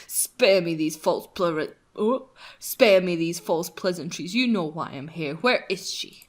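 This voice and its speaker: A woman's voice: lowish voice, lively intonation, a little slow, pitched below the performer's own voice. A woman voicing a curt, commanding male captain of a prince's guard in a visual novel. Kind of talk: visual novel read-aloud.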